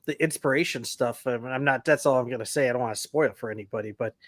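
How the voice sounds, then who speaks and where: A man's voice: medium pitch, fast talker, fairly bright tone, no echo, clean studio sound. A man co-hosting a casual horror podcast, chatty and easygoing, a bit sniffly from allergies. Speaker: podcast co-host.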